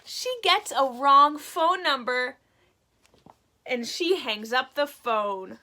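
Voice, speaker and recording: A woman reading a picture book aloud to young children, animated and expressive, pausing to explain words simply like a teacher. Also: high-pitched.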